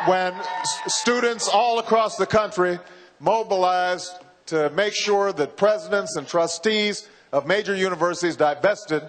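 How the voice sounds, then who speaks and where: A man in his forties giving a campaign speech, slow and halting with frequent pauses and repeated words. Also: sits high. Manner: halting, measured, with many uhs and repeated words. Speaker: political candidate. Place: large university hall. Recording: reverberant hall from a PA.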